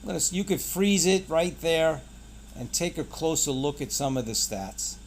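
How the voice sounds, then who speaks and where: A man's voice: on the high side, animated, normal speed, slight background noise. A man hosting a casual product unboxing, pointing things out in short, clipped phrases. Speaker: product reviewer and channel host.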